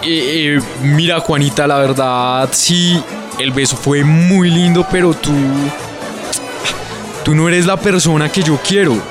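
A man playing a polite, slightly awkward popular schoolboy in a radio drama, speaking gently and hesitantly with a Colombian accent. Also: fairly high pitch, fairly even intonation, takes it slowly. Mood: awkward, apologetic. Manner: hesitant, gentle, repeats himself. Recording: music under the voice.

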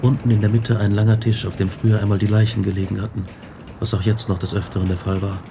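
An actor playing an earnest, brooding young scientist in a radio drama, speaking with measured intensity. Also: male voice, lowish voice, level tone. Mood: brooding, reflective. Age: young adult. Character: earnest, brooding young male scientist recounting his doom. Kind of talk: radio drama narration and dialogue. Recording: clear background noise and ambience.

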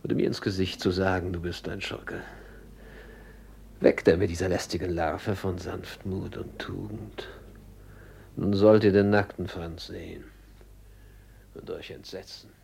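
An actor playing a cold, scornful villain in a declamatory, sinister German radio drama monologue. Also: male voice, sits low, little pitch movement, measured pace, gravelly, long pauses, a little mellow, breathy edge, heavily acted. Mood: sinister triumph. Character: cold, scornful male villain unmasking himself. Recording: faint noise on radio recording.

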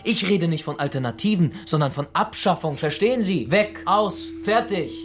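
An actor playing a young working-class blackmailer, radicalised and contemptuous, politely threatening and taunting in a naturalistic radio crime drama. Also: male voice, high-pitched, expressive, normal speed, a bit bright. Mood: taunting, heated.

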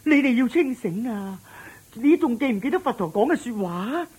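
A loyal old manservant in a Cantonese radio drama, with a Hong Kong accent. His voice is very husky and breathy, swinging widely in pitch as he frets. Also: very animated, moderate pace, a little mellow, exaggerated voice. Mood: anxious pleading. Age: older. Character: anxious, loyal old male family servant.

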